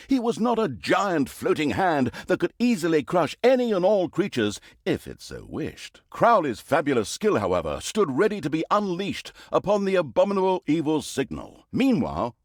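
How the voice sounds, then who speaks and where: A man's voice: medium pitch, no room sound, studio quality. A male actor playing a pompous, sardonic storyteller who narrates a fantasy video game with theatrical, mock-grandiose delivery.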